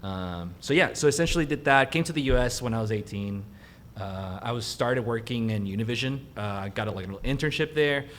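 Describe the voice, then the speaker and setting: A young man from Mexico, a native Spanish speaker, telling his career story as a guest in a casual interview, animated and laughing easily. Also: medium pitch, brisk, slight background noise. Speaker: guest cinematographer.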